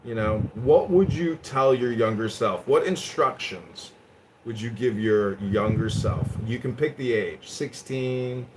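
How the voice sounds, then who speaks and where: A man hosting a live audio chat, roasting his guest in a mocking, provocative way, swinging between loud and quiet. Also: medium pitch, measured pace, noisy background. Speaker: host.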